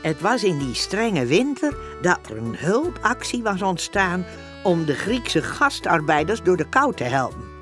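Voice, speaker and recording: A woman's voice: fairly low pitch, big pitch swings, a little slow, fairly bright tone, faint music behind. A woman narrating a scripted story for a comic radio play.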